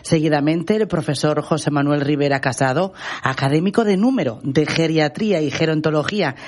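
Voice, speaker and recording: A woman's voice: sits low, brisk, a little mellow. A woman co-hosting a radio show, formally and courteously reading the program rundown.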